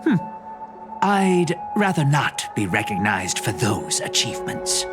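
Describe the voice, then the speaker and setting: An actor playing a weary, self-deprecating dead film director in a video game, with resigned and dramatic phrasing. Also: male voice, medium pitch, very animated, measured pace. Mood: wry. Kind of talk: video game character dialogue. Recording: game dialogue over clear background music.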